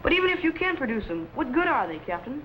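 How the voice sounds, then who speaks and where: An eager American teenage boy, high-pitched and earnest, in an old sci-fi serial. He is wide-eyed and respectful, heard through a dull, noisy vintage soundtrack. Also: big pitch swings, fast. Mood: eager, curious. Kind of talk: 1950s sci-fi serial acting.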